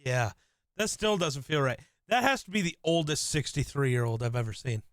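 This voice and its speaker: A man co-hosting a casual podcast, an excitable fan who swears casually. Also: mid-range voice, moderate pace, no room sound, studio quality. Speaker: podcast co-host. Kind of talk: casual chat.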